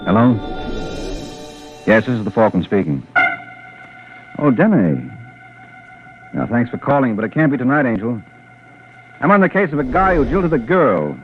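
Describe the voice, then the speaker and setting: An actor playing a breezy, wisecracking tough private detective in an old radio drama, brisk and slangy. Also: male voice, mid-range voice, very animated, close to an everyday voice. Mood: breezy, flirtatious. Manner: brisk, smooth-talking, slangy. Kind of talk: 1940s radio acting. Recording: muffled old radio recording, music behind.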